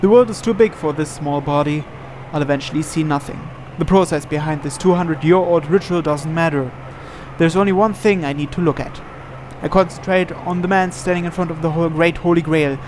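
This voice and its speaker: A man's voice: on the high side, a little fast, a little mellow, a little hiss or hum. A man reading visual novel narration aloud dramatically, as a let's player.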